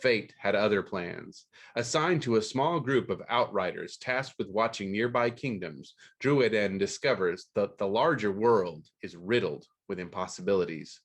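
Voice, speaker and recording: A man's voice: on the low side, expressive, moderate pace, hardly any pauses. A man hosting a podcast about books. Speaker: podcast host. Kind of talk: scripted book news read aloud, then casual interview.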